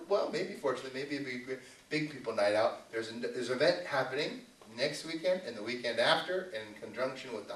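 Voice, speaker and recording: A man's voice: medium pitch, a bit bright. A man presenting as a puppeteer to a family audience, informal, instructive and playful, live in an indoor venue. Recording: echoey room, distant mic.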